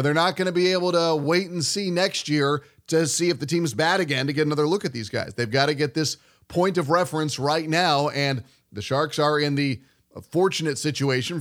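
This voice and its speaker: A male sports host with a somewhat high-pitched voice. He speaks fluently in a broadcast style, moving through conversational commentary and opinion. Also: a little fast, fairly bright tone.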